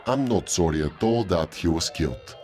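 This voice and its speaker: An actor playing a gossipy tavern keeper who tells stories in a folksy, unhurried way in an audio drama. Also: male voice, low voice, expressive, darkish tone. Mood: grave storytelling. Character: gossipy male innkeeper at a moon tavern. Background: music.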